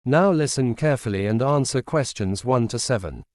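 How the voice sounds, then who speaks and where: A man's voice: medium pitch, lively intonation, darkish tone, dry recording. Formal male test announcer, dry sound. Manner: formal, measured. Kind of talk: scripted instructional narration.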